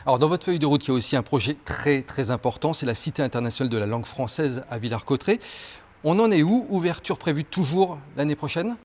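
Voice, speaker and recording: A man's voice: a little high, lively intonation, fast talker. Courteous male TV interviewer. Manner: courteous, formal questioning with hesitations. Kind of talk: television interview questions.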